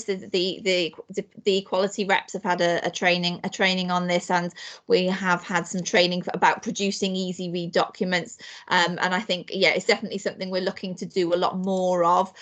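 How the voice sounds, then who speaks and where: A woman reports to a council panel over a video call. She restarts sentences often and pads them with fillers. Her pitch sits in the middle range. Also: fairly bright tone. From Wales. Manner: hesitant, many restarts and fillers. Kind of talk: online council meeting update.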